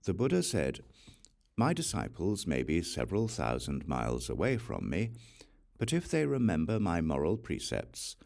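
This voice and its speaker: A man narrating a Buddhist scripture as an audiobook, reciting solemnly in short, measured phrases. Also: low voice, wildly swooping pitch, gravelly, darkish tone.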